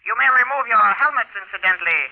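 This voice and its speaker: A man playing a calm, polite but menacing small alien leader in a 1950s radio drama, speaking precisely and formally. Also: extremely high pitch, fast, gravelly, heavily acted. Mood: cool, formal. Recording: vintage radio recording, narrow telephone-like band.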